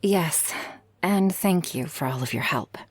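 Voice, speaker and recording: A woman playing a guarded but loving shark girl in an ASMR roleplay, speaking softly and intimately with frequent sighs. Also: low voice, lively intonation, a little slow, dry recording, exaggerated voice. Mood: warm, relaxed. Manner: soft, breathy, intimate, often sighing. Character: guarded, loving shark girl, former freak show performer.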